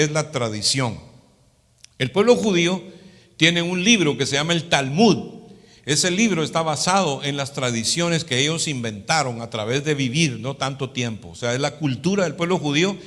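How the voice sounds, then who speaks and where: A man's voice: a little high, expressive, moderate pace, fairly bright tone. Latin American male preacher in a reverberant hall. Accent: Latin American Spanish. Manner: didactic, frequently seeking agreement with short tag questions. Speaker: pastor. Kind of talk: sermon, teaching.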